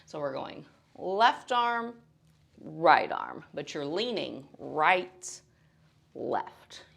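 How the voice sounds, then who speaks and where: A woman's voice: sits low, big pitch swings, drawn-out words, vocal fry, a bit bright. A woman teaching a beginner dance tutorial step by step, encouraging and counting beats aloud between instructions.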